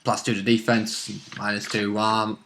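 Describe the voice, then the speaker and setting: A man's voice: lowish voice, monotone, unhurried, a bit bright. A man co-hosting a tabletop game recap in casual chat, chipping in with reactions. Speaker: co-host.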